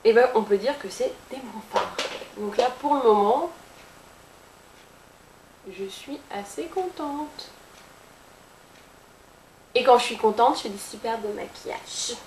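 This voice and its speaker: A woman's voice: fairly high pitch, slow, long pauses, a little mellow. A woman giving a casual, playful makeup tutorial with a chatty manner. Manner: chatty and playful, with sound effects and jokes. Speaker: beauty vlogger. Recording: echoey room with faint hiss.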